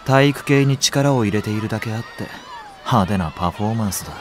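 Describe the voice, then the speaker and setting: Man acting cynical, lazy, lively-pitched nursery teacher. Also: brisk, noisy background, close to an everyday voice. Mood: wry. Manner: flippant, sardonic. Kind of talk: Japanese audio drama acting.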